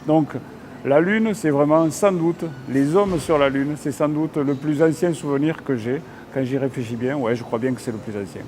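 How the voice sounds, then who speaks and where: A man's voice: fairly high pitch, fairly even intonation, moderate pace, darkish tone, a little hiss or hum. A man in his fifties, a magazine editor, earnestly recalling an early childhood memory. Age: 50s. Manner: nostalgic, earnest storytelling.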